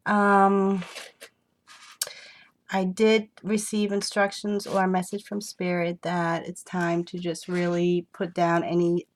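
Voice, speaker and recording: A woman with a cold, sounding congested, who talks slowly to her viewers and reassures them with many fillers. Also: lowish voice, slight background noise. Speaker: spiritual reader. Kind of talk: casual spiritual vlog.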